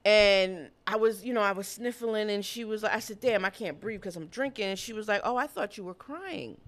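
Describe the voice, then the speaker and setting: A woman co-hosting a podcast who tells stories in casual chat, blunt and animated, and swears freely. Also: medium pitch, a little fast. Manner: blunt, profane and animated storytelling. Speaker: podcast co-host.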